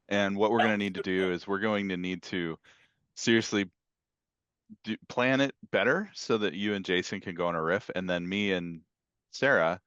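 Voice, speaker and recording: A man's voice: sits low, a bit bright, dry recording, muffled recording. A man hosting a casual podcast chat, jokey and given to breaking into bits of song. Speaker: podcast host.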